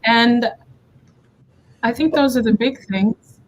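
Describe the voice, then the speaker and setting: A woman's voice: fairly high pitch, slow. A woman from Baltimore, an opera singer, chatting informally in a slightly scattered way. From Baltimore, United States. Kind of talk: casual self-introduction chat. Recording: noisy video call.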